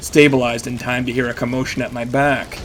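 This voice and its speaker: A man's voice: medium pitch, little pitch movement, brisk, noisy background, natural voice. An actor playing a battered vigilante who narrates his own story in intense, clipped phrases, in the style of an audio drama. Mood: grim, tense. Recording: music behind the voice. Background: dramatic music.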